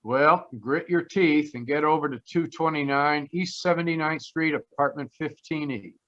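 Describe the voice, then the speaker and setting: Man playing a higher-pitched wisecracking radio private eye. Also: normal speed, fairly bright tone, close to an everyday voice. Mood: flippant. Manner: flippant, wry. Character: wisecracking, flippant ex-cop private detective, male. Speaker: actor in a radio play. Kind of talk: 1940s detective radio acting. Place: remote live performance over video call. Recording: dull, lo-fi video call audio.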